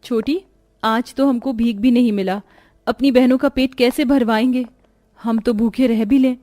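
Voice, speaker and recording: A woman's voice: fairly high pitch, steady tone, normal speed, dark tone, close to an everyday voice. A woman voicing a caring, protective orphan girl, an eldest sister in a cartoon story. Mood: sad.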